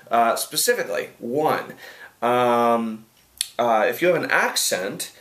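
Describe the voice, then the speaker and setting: A man's voice: medium pitch, fairly bright tone. A man talking casually straight to the camera, rambling and chatty, often restarting his sentences. His voice sits in the middle range, and the recording has a roomy echo. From United States. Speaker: host answering viewer questions. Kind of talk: casual video advice.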